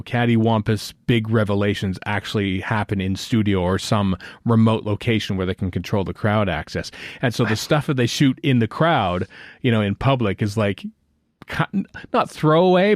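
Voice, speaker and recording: A man's voice: on the low side, no echo, clean studio sound. A man hosting a podcast, talking casually and thinking aloud in a rambling way. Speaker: podcast host. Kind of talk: casual panel chat about television.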